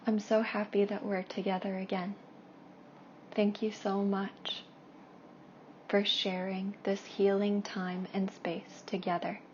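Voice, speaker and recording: A woman leading a guided meditation in a hushed, soothing way, with long pauses and soft, breathy phrase endings. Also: medium pitch, level tone. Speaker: meditation guide and Reiki practitioner. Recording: dull lo-fi with faint background noise.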